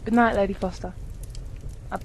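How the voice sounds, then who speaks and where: A woman playing a timid, earnest teenage boy in an amateur radio play, speaking politely and haltingly. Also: mid-range voice, steady tone, noisy background, natural voice. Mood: earnest, hesitant.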